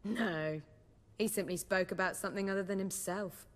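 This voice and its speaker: A woman's voice: medium pitch, fairly even intonation, moderate pace, lightly acted. Poised female bard in long reverb, acted. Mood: wry. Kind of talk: video game cutscene dialogue.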